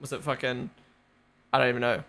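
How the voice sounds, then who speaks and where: A man's voice: mid-range voice, level tone, brisk, gravelly, a bit bright. A young man with a New Zealand accent, rambling casually as a live stream host, full of fillers and swearing. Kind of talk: casual live watch-along chat.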